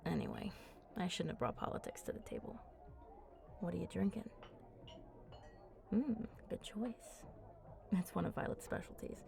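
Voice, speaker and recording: A woman playing a flirty, confident vampire in an intimate ASMR roleplay, talking softly and playfully up close and often dropping into breathy murmurs. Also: fairly low pitch, huge pitch swings, normal speed, long pauses, over music, heavily acted. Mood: confiding, flirty. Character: flirty, teasing, confident female vampire at a bar. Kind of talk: ASMR roleplay, intimate one-sided chat.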